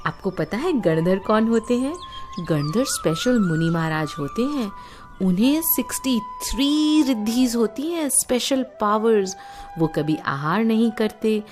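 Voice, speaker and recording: An Indian woman narrates a moral tale for children, her pitch swinging in a lively way. She takes her time and explains things simply as she goes. Music plays clearly behind her voice. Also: a little slow. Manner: explanatory, asks the listeners questions and glosses terms. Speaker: storyteller for children. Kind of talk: animated moral storytelling for children.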